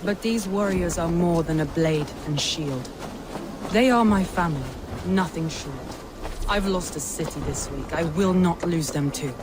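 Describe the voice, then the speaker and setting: Proud Norse warlord voiced by a woman, stern, measured, guarded, game cutscene, steady noise behind. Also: lowish voice, close to an everyday voice. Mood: earnest. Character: proud, resolute female Norse warlord, middle-aged. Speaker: Norse lord of a city. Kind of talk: video game cutscene acting.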